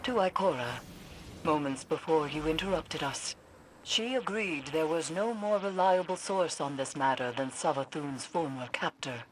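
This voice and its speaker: A woman voicing a solemn, cryptic occult scholar in a video game, speaking in a measured, formal way. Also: low-pitched, slight background noise, close to an everyday voice, rough recording. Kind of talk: video game cutscene voice acting. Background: orchestral game score.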